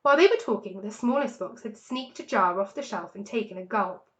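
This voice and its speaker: A woman narrating a children's story in an expressive, dramatic storytelling manner. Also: medium pitch, fairly quick. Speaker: story reader.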